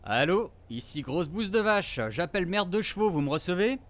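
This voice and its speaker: Male actor as forest ranger and expectant father, slightly high voice, casual, teasing, natural audio drama delivery. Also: lively intonation, normal speed, slight background noise. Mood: playful.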